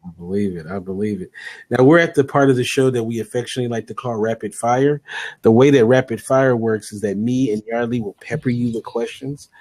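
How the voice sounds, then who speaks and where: A man's voice: medium pitch, darkish tone, no echo. Upbeat male co-host. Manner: upbeat, frequent laughs and quick acknowledgements. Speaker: show co-host. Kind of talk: interview host, rapid-fire questions.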